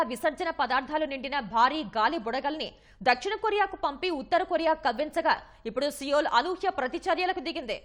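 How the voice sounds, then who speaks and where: A woman reading scripted news headlines as an anchor. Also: high-pitched.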